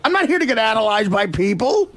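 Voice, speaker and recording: Animated, high-pitched defensive man. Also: quick pace. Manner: defensive, profane. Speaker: comedian, radio show regular. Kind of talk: heated spontaneous radio banter.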